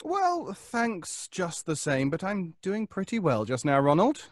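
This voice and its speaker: A nervous, obsessive radio-suspense schemer, higher-pitched. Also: male voice, wildly swooping pitch, no room sound, studio quality, heavily acted. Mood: guarded, sly. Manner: stammering, halting, pleading. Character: nervous, obsessive, scheming middle-class man. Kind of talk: old-time radio suspense acting.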